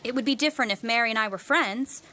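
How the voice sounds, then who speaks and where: A fairly high, bright and forceful voice, a woman acting a steely young heiress in a radio play. She stays composed and polite, with firm, measured words that carry a threat. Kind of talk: period audio drama acting.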